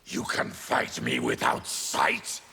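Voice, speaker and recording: A man's voice: huge pitch swings, slow, very noisy, exaggerated voice. An actor voicing a grim male monster warrior, an outcast speaking in a blunt, somber growl for a fighting game. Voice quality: deep, gravelly growl. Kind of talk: video game character banter.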